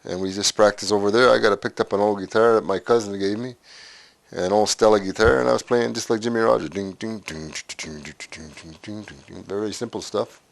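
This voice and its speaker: A man in his sixties from Canada, recalling his life story in a documentary interview, unhurried and halting with frequent pauses. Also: fairly low pitch, steady tone, creaky, no echo. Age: 60s.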